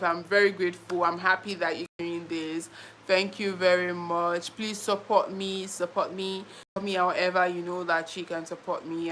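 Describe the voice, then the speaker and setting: A woman's voice: mid-range voice, sharp tone, slight background noise. A young Nigerian woman with a Nigerian accent hosts a casual live stream, chatting playfully, reading viewer comments and laughing often.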